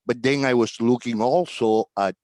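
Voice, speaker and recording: A middle-aged man from Cuba, a tax agent talking as a guest on a video call in a relaxed, rambling way with fillers and chuckles. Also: mid-range voice, normal speed, no echo. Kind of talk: casual video-call interview.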